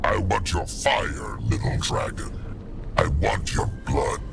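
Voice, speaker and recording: Menacing, gravelly dragon warlord. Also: male voice, deep voice, exaggerated voice. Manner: pompous, slow, declamatory. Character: menacing, grandiose male warlord calling himself Dragon King. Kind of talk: dramatic fantasy video game acting. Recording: loud music over the voice. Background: loud music.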